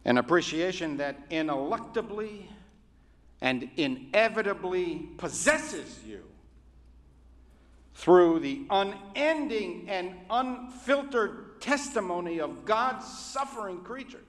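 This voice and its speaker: A rabbi giving a sermon in a measured, oratorical way, with deliberate pauses. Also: male voice, sits high, very animated, fairly bright tone. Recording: reverberant hall.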